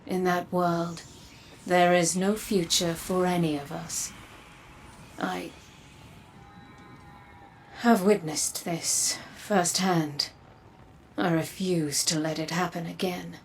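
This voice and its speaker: A woman's voice: on the low side, fairly even intonation, slow pace, creaky, long pauses, slight background noise. An actress playing a stern, resolute woman leader, delivering a grave, emphatic monologue in a video game.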